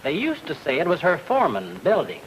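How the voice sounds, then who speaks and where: An actor playing a hesitant, obliging small-town investigator who repeats himself in an old radio drama. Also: male voice, on the high side, wildly swooping pitch, a little fast. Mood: informative. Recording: noisy old radio recording.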